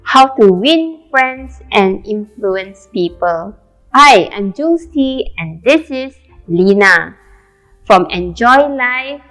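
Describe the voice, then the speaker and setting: A woman hosting an interview in a shop, speaking slowly and hesitantly with fillers. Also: medium pitch, expressive, a bit bright, faint music behind, low-quality recording. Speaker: host, interviewer. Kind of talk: casual interview. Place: small shop café indoors.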